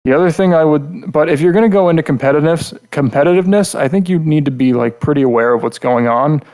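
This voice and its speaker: A man co-hosting a podcast, talking through a casual critique in a rambling, halting way and often repeating words mid-thought. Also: on the high side, a little mellow, rough recording. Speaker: podcast host reviewing game characters.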